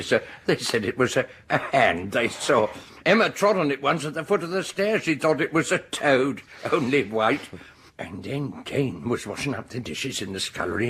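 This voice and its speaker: An actor playing a polite, apologetic English manservant in a radio horror drama, earnest and hesitant. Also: male voice, mid-range voice, vocal fry, a bit bright. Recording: faintly noisy 1980s radio drama broadcast.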